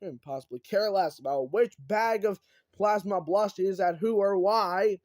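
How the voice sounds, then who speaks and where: A man's voice: high voice, moderate pace, darkish tone, no echo, clean studio sound, close to an everyday voice. A man voicing a brash chaos demon from a book, theatrical and taunting, like a mocking salesman. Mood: indignant. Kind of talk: animated audiobook character reading.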